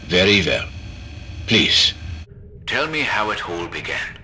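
An actor playing a calm, eccentric male psychiatrist in a horror audio drama, asking measured, coaxing questions. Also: low voice, slight background noise, exaggerated voice. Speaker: doctor.

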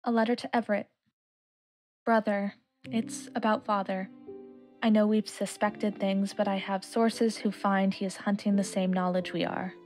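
Woman as an earnest sister, even-toned. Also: mid-range voice, moderate pace, soft background music, lightly acted. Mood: grave, worried. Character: earnest, worried sister writing to her brother. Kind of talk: dramatic reading of a letter.

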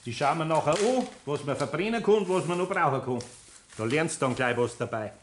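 An actor playing a patient but firm older master carpenter with a Bavarian accent, speaking in a homely, deliberate way in a 1960s radio play. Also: male voice, fairly high pitch, expressive, a little hiss or hum, natural voice. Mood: patient, instructing.

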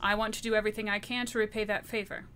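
A determined, vengeful woman played by a woman in a tabletop game. Her voice is bright and forceful, mid-pitched, with a cold and deliberate threat. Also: little pitch movement, clear, resonant tone, lightly acted. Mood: cold, insistent.

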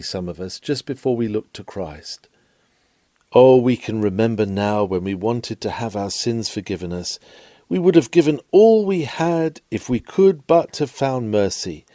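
A man's voice: mid-range voice, sing-song, moderate pace, warm tone, no echo, studio quality. A man reading an old sermon aloud in an earnest, rhetorical and emphatic way. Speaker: sermon reader.

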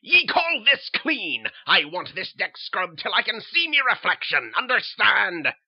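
Man putting on a gravelly old sea captain, bullying, bellowing salty orders, swings up and down, husky edge, dull lo-fi sound. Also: medium pitch, lively intonation, a little slow, no echo. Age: older. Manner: bellowing pirate-style seaman's talk.

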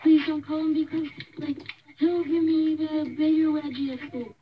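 A woman's voice: high voice, level tone, normal speed, slight background noise, close to an everyday voice. A woman playing a timid, bullied young son, pleading and hesitant, in an improvised amateur role-play. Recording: dull, distant from the microphone.

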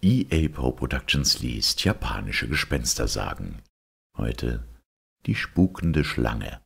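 A man with an extremely low, crackling voice narrates an old ghost tale. His delivery is solemn and measured, yet the intonation moves up and down in a lively way. The tone is heavily husky. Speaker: storyteller reading folk tales. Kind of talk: scripted narration of a ghost legend.

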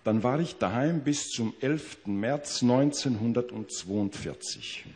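A man interpreting a witness's testimony into German in court, pausing to search for words. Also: medium pitch, a little mellow. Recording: old 1960s courtroom tape.

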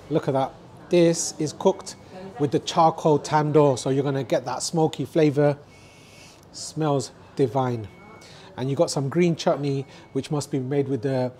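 A man's voice: medium pitch, a little mellow. A man, presenting a casual food vlog on a lapel mic in a restaurant kitchen, enthusiastic and talking straight to camera. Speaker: food vlogger. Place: restaurant kitchen and dining room.

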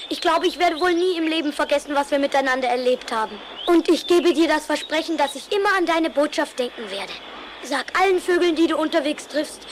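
A woman's voice: high voice, noisy background, close to an everyday voice. A woman voicing a tender young farm boy in a cartoon audio drama, speaking with earnest emotion. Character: young farm boy, tender, attached to his goose friends. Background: music.

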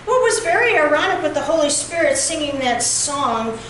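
A middle-aged woman pastor preaching a reflective, measured sermon at a pulpit, heard from a far camera. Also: sits high, sing-song, a bit bright, slight background noise. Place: church sanctuary, at a pulpit. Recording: very echoey church from a distance.

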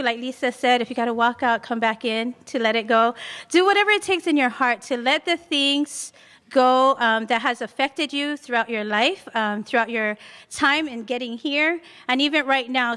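A woman in her forties, originally from Hawaii, speaks into a podium mic in a large hall. Her voice is high and bright, and the room adds a long reverb tail. She talks in a chatty, encouraging way and slips in light jokes. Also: smooth. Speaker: women's day speaker. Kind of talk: church lesson talk. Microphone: stand mic at podium.

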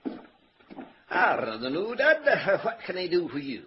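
A man's voice: high voice, sing-song, moderate pace, a little hiss or hum. An actor playing a chatty older male village shopkeeper with giggly, fussy salesman patter, in a dull old 1930s radio recording. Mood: cheery.

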